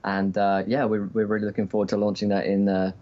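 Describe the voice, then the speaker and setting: A man with a British accent, a guest speaking haltingly in a casual interview over a remote call. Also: on the low side, little pitch movement. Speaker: guest, tabletop show producer. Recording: dull remote call audio.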